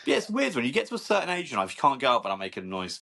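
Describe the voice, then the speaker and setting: Animated, bright-voiced Englishman in his forties. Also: mid-range voice, racing, gravelly. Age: 40s. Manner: joking, animated, swearing. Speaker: podcast co-host. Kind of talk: casual chat.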